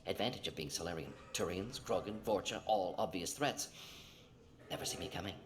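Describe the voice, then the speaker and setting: Actor playing a rapid-fire clipped alien scientist doctor. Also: male voice, low voice, close to an everyday voice. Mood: clinical, matter-of-fact. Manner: clipped telegraphic sentences, matter-of-fact. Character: brisk, clever alien salamander-like scientist doctor, ex-operative. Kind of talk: video game dialogue acting.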